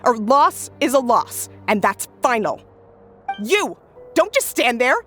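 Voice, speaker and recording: A strict woman official speaks formally and firmly, by the book. Her voice is fairly high and very animated, as in a game cutscene, with faint music underneath. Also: takes it slowly, heavily acted. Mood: firm, urgent.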